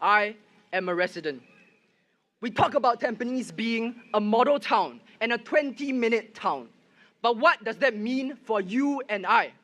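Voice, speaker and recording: A young man speaks at a rally, telling his personal story in an earnest way. His voice is very high and bright. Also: animated, measured pace. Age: young adult. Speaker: first-time voter speaking at rally. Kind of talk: prepared rally speech. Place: outdoor rally with loudspeakers.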